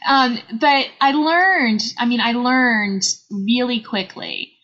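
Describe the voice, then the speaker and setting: Woman podcast host, chatty n laughy, mid pitch, kinda muffled audio. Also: a bit bright. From Northern Virginia. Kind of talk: casual interview chat. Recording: slightly dull lo-fi audio with faint noise.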